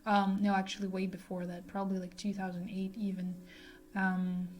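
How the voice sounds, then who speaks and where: A woman in her twenties giving a personal talk to camera in a halting, reflective way, often pausing mid-sentence. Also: mid-range voice, monotone, darkish tone, faint music behind. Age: 20s. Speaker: vlogger sharing a personal testimony.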